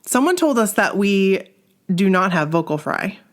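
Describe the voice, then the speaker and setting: A woman's voice: medium pitch, big pitch swings, unhurried. A woman with a Maine accent co-hosting a podcast in casual chat, nasal and congested from a sore throat, chatty and self-deprecating. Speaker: podcast co-host.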